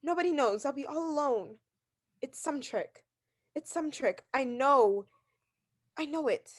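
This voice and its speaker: A woman's voice: high voice, expressive, measured pace. An actress playing a neurotic, bedridden woman who is agitated, pleading and self-pitying, in a 1940s radio thriller style. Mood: anxious, fretful. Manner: agitated, pleading, breaking into outbursts.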